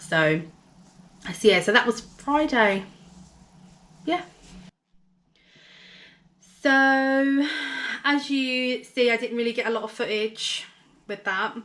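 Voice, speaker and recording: A woman with a hoarse, gravelly voice telling a personal story in a casual vlog, rambling with many fillers and restarts. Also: a little high, takes it slowly, long pauses, pushed and bright. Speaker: vlogger.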